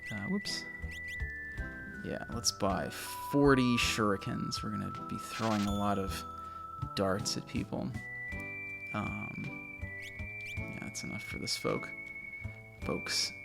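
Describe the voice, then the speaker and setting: A man with a naturally low voice, casually commentating a video game he loves, a little mumbly and self-deprecating. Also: takes it slowly, vocal fry, long pauses, over loud music. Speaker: let's play host.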